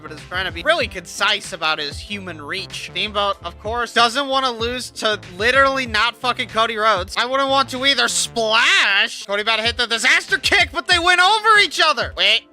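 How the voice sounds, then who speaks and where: A man doing casual, excitable commentary over a wrestling video game, swearing and laughing, swinging from mumbles to yells. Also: high voice. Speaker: gaming YouTuber.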